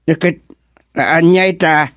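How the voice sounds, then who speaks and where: A man's voice: on the high side, steady tone, takes it slowly, a bit bright, no room sound. A male actor playing an inquisitive, easily puzzled village man in an Ilocano radio drama, with an Ilocano accent.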